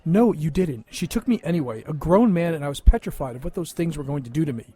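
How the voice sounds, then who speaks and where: A man's voice: fairly high pitch, animated, quick pace, mellow, husky. A man playing a big, traumatized and resentful younger brother in an audio drama, bitter and wounded in manner. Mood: bitter, accusing.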